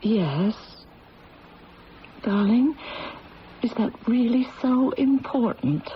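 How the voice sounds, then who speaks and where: A tender, anguished middle-aged mother in a vintage radio drama. She speaks slowly and haltingly, full of feeling. The sound is muffled, with clear background noise from an old broadcast. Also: fairly high pitch, animated. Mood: ashamed. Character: loving, anguished adoptive mother of a grown daughter. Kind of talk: 1970s radio drama acting.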